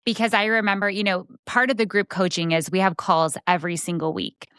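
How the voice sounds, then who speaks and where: A woman podcast host coaches and encourages her guest in conversation. She affirms often and asks reflective questions. Her voice is smooth and clear, recorded dry and close. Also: medium pitch, steady tone, brisk, fairly bright tone, no echo. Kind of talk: conversational interview.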